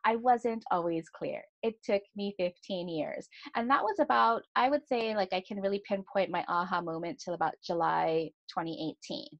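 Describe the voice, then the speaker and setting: A woman's voice: medium pitch, normal speed, creaky, hardly any pauses, highs cut off. A woman in her thirties hosting a solo podcast, speaking earnestly and confessionally, often checking in with "right?". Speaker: podcast host and astrologer.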